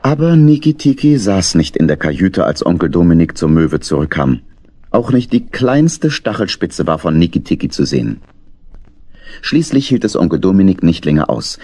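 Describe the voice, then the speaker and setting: Deep, husky male storyteller. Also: warm tone, no echo. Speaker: narrator. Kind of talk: dramatic scripted children's story narration.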